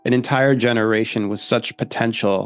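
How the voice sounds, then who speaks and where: A man's voice: mid-range voice, steady tone, brisk, clear, resonant tone, dry recording, faint music behind. A man hosting a podcast, mostly agreeing and encouraging his guest in casual chat. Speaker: podcast host.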